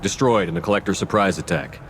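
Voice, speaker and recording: A game soldier commander, deep calm voice, direct and businesslike, faint rumble behind him. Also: male voice, fast talker. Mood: calm, curious. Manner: matter-of-fact, inquisitive. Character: human military commander, calm, direct adult man. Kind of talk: video game voice acting.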